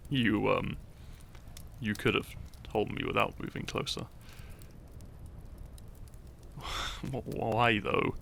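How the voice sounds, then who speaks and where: A man acts out a shy young fantasy hero with a lowish voice, heavy in creak. He is teasing and lovestruck, softly stumbling over his words in an intimate romantic audio roleplay. There is faint noise behind him. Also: steady tone, takes it slowly, heavily acted. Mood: flustered, coy. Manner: soft, hesitant, stammering, breathy sighs and chuckles.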